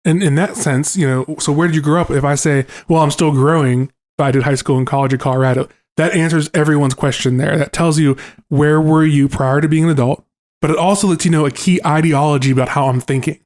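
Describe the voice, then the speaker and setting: A young man with a slightly high voice works through ideas out loud on a casual podcast. He is thoughtful and measured, building his point step by step. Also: fairly even intonation. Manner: thoughtful, reasons things through aloud. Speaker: podcast co-host.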